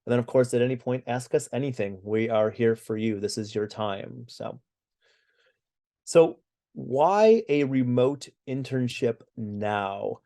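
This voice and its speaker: A man's voice: medium pitch, measured pace, creaky, mellow. A middle-aged American man co-hosting a webinar, presenting over a video call with lots of "uh" fillers and restarts. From United States. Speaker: webinar co-host.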